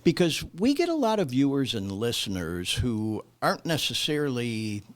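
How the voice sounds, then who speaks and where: Man, middle-aged, podcast host, animated pitch, blunt, casual, swears. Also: normal speed. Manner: blunt, swears, supportive interjections. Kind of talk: casual recovery podcast talk.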